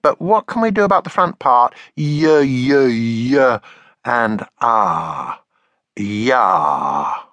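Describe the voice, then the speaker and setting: A man reading aloud and voicing an eager, excitable little cave girl in a storybook, chirpy and breathless with excitement. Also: medium pitch, very slow, dry recording. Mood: excited.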